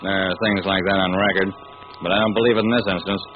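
An actor playing a cautious, skeptical coroner in a measured 1940s radio style, on a tinny, noisy recording. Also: male voice, low-pitched, steady tone, lightly acted.

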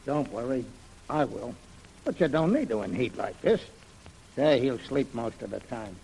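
Creaky, brusque wharf hand. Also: male voice, mid-range voice, lively intonation, moderate pace, a little mellow, low-quality recording. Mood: dismissive. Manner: brusque, slangy. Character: gruff, impatient male wharf hand, bossy. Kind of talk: 1940s radio serial acting. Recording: old radio recording, faint noise.

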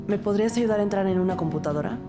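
A woman's voice: mid-range voice, rapid-fire, natural voice. A woman playing a composed police detective who narrates her investigation in a scripted crime audio drama. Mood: calm, businesslike. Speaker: narrator and lead character. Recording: loud music behind the voice. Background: music.

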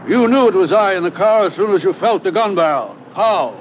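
An actor playing a polite but menacing criminal mastermind, formal and precise, in a 1950s radio adventure. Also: male voice, high voice, animated, brisk. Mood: tense. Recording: dull, faintly noisy old radio recording.

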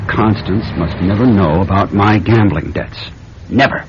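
An actor playing a scheming, nervous husband in a radio drama, speaking in a halting, theatrical, suave way. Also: male voice, lowish voice, natural voice. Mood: tense, indignant. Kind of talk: classic radio drama acting. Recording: dull, slightly noisy radio recording.

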